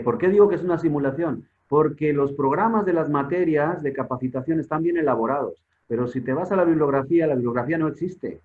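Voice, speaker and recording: A man born in Spain speaking as a guest expert in an online forum, emphatic, with rhetorical questions and repeated words while he searches. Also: fairly high pitch. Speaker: guest expert on public security. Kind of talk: conversational lecture in an online forum. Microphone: video call. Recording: tinny phone-like online call audio.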